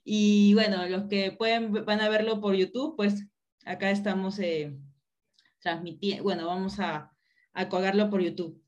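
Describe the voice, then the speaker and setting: A woman's voice: mid-range voice, steady tone, unhurried, a little mellow, muffled recording. A woman speaking as a podcast host in a casual, conversational interview style.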